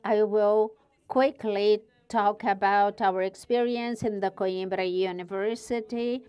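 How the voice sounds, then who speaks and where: Slow, smooth-voiced Portuguese woman speaker. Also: medium pitch, fairly even intonation, darkish tone. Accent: European Portuguese. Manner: careful, searching for words. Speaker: guest speaker, university researcher. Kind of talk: conference presentation.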